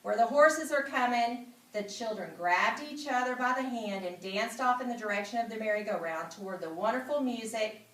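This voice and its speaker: A woman reading a children's story aloud expressively and dramatically, repeating phrases and adding asides. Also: medium pitch. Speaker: school principal reading aloud. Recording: echoey room, somewhat distant mic.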